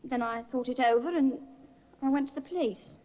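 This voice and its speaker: A woman's voice: sits high, moderate pace, mellow, natural voice, poor audio. An actress playing a nervous young woman witness giving hesitant, faltering answers in an old radio courtroom drama. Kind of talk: 1950s radio acting.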